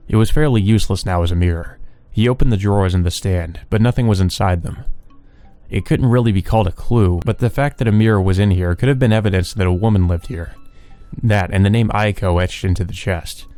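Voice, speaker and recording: A man's voice: sits low, brisk, dark tone, dry recording. Man narrating a visual novel over music. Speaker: let's play host reading aloud. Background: background music.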